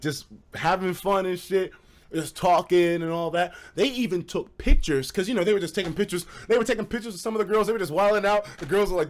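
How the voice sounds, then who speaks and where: A man streaming casual, animated stories to a live chat, with slangy, profane phrasing and dramatic emphasis. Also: high voice. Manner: profane, slangy, acts out quoted dialogue; dramatic emphasis and repetition for suspense.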